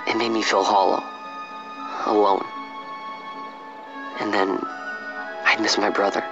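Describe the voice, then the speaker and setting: Young street-bred man, slightly low voice, plainspoken, sincere, restless, muffled game audio with music. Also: flat delivery, takes it slowly. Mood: regretful, hollow. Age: young adult. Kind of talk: dramatic game voice acting.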